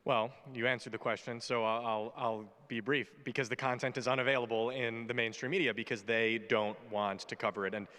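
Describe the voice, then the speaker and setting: A man's voice: mid-range voice, fairly even intonation, fairly quick, few pauses, sharp tone, clear, resonant tone. A man in his twenties speaking on a conference panel in a brash, joking, opinionated way. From United States. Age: 20s. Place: large conference hall stage. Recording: reverberant hall.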